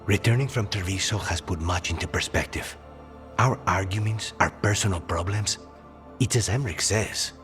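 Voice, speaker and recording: Deep-voiced, grave fantasy companion. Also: male voice, moderate pace, fairly bright tone. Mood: earnest. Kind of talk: video game voice acting. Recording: faint music behind.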